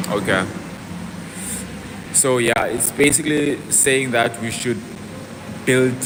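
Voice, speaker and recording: A young man talks casually on a fitness livestream, his voice sitting on the lower side. He keeps breaking off to answer viewer comments before picking his thought back up. A clear hum of air conditioning and passing vehicles runs underneath. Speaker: fitness vlogger.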